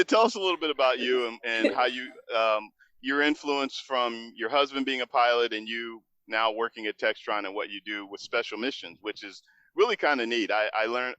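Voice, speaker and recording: A man's voice: medium pitch, sharp tone, clean tone, no room sound, dull audio. A man hosting an on-location interview, chatty, laughing often and restarting his questions. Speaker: podcast host. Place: aircraft manufacturing facility.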